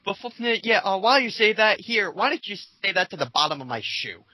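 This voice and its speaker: Sarcastic male commentator, bright and husky, lively delivery for mocking read-alouds. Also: high voice, brisk, no echo, rough recording. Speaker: host of a dramatic reading.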